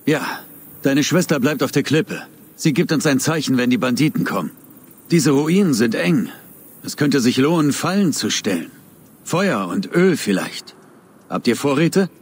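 Husky, grave warrior. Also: male voice, medium pitch, lively intonation, measured pace. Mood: calm, resolute. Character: Bayek, grave, resolute male warrior protector. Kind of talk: video game voice acting.

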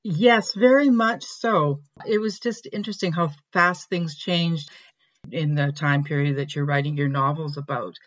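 An eager podcast host who leads the interview and is quick to praise the guest's work. The voice comes through a slightly dull, lo-fi line. The delivery is warm in its agreement and moves at a conversational pace. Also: mid-range voice. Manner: enthusiastic, affirming, quick to praise.

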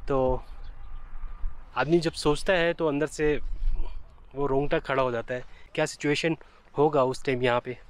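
A man's voice: fairly high pitch, clean tone. A man in his thirties, a travel vlogger chatting casually to a handheld camera outdoors in the mountains, explaining what he sees. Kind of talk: casual travel vlog narration. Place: outdoors in mountain valleys and a memorial park. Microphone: handheld camera at arm's length.

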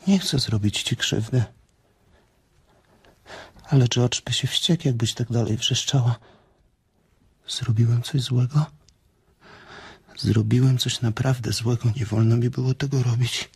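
An actor playing a big simple-minded farmhand with a childish, repetitive way of talking, in a radio drama. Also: male voice, mid-range voice, steady tone, a little slow, gravelly, pauses often, breathy edge, exaggerated voice. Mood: frightened, pleading.

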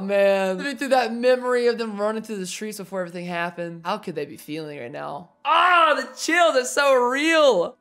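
A young man in his twenties giving casual, excitable reaction commentary on an anime episode, exclaiming, laughing and reading subtitles aloud, recorded on a headset in a small home room. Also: high-pitched.

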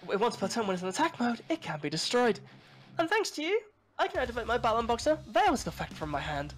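A man's voice: extremely high pitch, huge pitch swings, fast talker. A young man announcing his moves as a player in a card-game roleplay.

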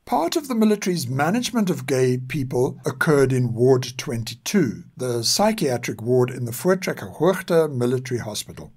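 Older man, lively intonation, husky. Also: mid-range voice. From South Africa. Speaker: narrator and podcast author. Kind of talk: scripted historical narration.

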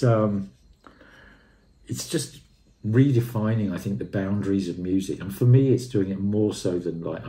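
Male reviewer, 60s. Low, soft-edged mellow voice, emphatic off-the-cuff enthusiasm, recorded at home. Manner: effusive, emphatic, repeats phrases. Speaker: audio equipment and music reviewer. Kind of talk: unscripted product review to camera. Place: room at home, indoors. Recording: roomy, echoey indoor sound.

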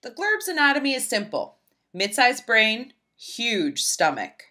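A woman reading a children's picture book aloud in an expressive, emphatic storybook style. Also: on the high side, measured pace. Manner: animated, emphatic, storybook delivery.

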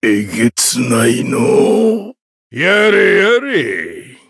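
An actor playing a huge old warrior monk in an anime game, jovial yet menacing, speaking theatrically in an archaic old man's way with a gravelly, husky voice. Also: a little high, huge pitch swings, fairly bright tone, slight background noise, exaggerated voice. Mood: menacing, amused. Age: older. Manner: slow, theatrical, archaic old-man speech. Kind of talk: anime game battle voice lines.

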